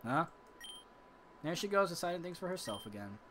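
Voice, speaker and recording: Animated, playful game player reading aloud. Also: medium pitch, brisk, noisy background. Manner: playful, theatrical, switching between character voices. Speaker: gaming video host. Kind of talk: reading visual novel dialogue aloud with ad-libs.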